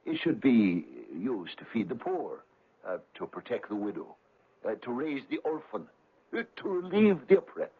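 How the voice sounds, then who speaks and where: An actor playing a dreamy, idealistic, poor father, fervent and excitable, in an old-time radio drama. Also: mid-range voice, moderate pace, breathy edge. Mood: earnest, pleading. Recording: tinny, noisy old radio recording.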